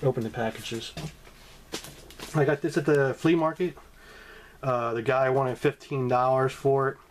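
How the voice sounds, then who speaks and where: A man's voice: medium pitch, steady tone, measured pace, a little hiss or hum. A man casually talking to camera about his comic book haul, rambling with many ums and restarts, in a room at home. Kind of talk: casual vlog talk to camera.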